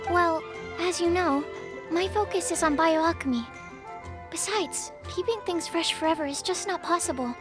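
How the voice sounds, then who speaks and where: A woman's voice: very high voice, normal speed. A woman voicing a timid, polite young female alchemist who stammers a little, in a game cutscene. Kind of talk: video game cutscene dialogue. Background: game music.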